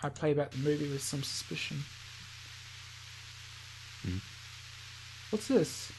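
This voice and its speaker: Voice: medium pitch, lively intonation, takes it slowly, darkish tone, a little hiss or hum, poor audio. A gaming video host reading a visual novel aloud with playful asides, often stumbling over words and self-correcting.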